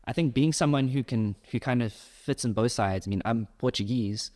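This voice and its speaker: A man's voice: medium pitch, moderate pace, a little mellow. A young man from South Africa hosting a casual podcast interview, explaining his ideas at length. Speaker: podcast host.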